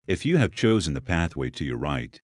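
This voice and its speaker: A man with a deep voice reads a guided meditation. His delivery is deliberate and soothing, with gaps between phrases, yet the melody rises and falls in a lively way. The sound is dry, with very little room around it. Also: no echo. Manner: slow, deliberate, soothing instruction with pauses. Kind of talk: scripted guided meditation narration.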